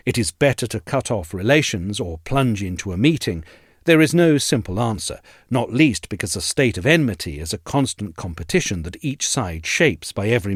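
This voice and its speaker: A man narrating a scripted audio essay in a measured, articulate and formal way. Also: fairly low pitch, no room sound, studio quality.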